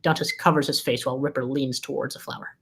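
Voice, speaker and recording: A narrator reads screenplay directions aloud in a scripted delivery. The voice sits at a middling pitch. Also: fairly even intonation, fast, a bit bright, breathy edge.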